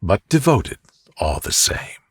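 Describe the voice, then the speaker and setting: Male reader as a devoted gentleman lover: low, husky, soft murmur, tender, for a bedtime storybook romance. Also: big pitch swings, slow pace, no room sound, clean studio sound, heavily acted.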